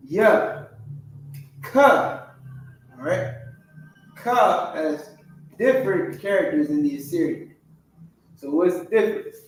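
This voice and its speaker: A man with a fairly high voice teaching slowly, pausing often to check that his students follow along. He sounds off from the mic in a very echoey room. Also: far from the mic, a little hiss or hum. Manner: slow, repetitive, checks in with "all right" and "you understand". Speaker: Hebrew class teacher. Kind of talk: classroom teaching over a chart.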